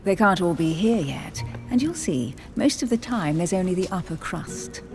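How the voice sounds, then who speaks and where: A woman's voice: on the low side, lively intonation, normal speed, over music. A polished aristocratic lady. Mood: teasing. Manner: polished, gracious, gently teasing. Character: refined aristocratic woman at a reception. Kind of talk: game voice acting.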